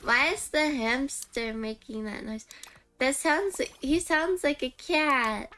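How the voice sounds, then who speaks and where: A woman's voice: high voice, slow, bright, forceful tone, slight background noise. A woman hosting a livestream, chatting casually in a cutesy manner. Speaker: livestream host, game streamer. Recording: background music under voice. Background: music.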